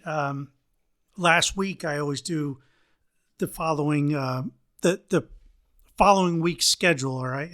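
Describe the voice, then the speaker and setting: A middle-aged man from the United States hosting a solo leadership podcast, a bit hoarse from allergies, talking earnestly and often restarting phrases. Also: sits high, pushed and bright. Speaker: podcast host.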